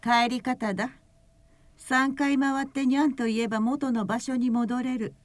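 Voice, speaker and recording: A woman's voice: fairly high pitch, fairly even intonation, unhurried, no room sound, close to an everyday voice. A woman voicing a haughty rain-spirit princess who eats humans, speaking in an imperious, old-fashioned way, in a voice drama. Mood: commanding. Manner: imperious, archaic speech. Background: background music.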